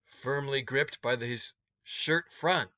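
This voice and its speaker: Man, teacher, story read-along, slow delivery, forceful bright tone, dry slightly dull recording. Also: mid-range voice. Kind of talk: read-aloud story narration for students.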